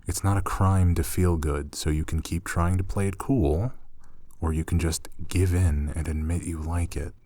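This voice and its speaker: A young man acting as a gentle, teasing roommate in an intimate ASMR roleplay, speaking soothingly and coaxingly, close and soft. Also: sits low, steady tone, moderate pace, dry recording. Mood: tender teasing. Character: gentle, teasing male roommate soothing a shy catgirl-like companion.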